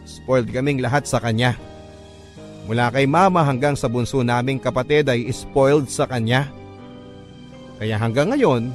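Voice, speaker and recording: A man with a Filipino accent reading a sentimental scripted story as a radio narrator. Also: mid-range voice. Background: background music.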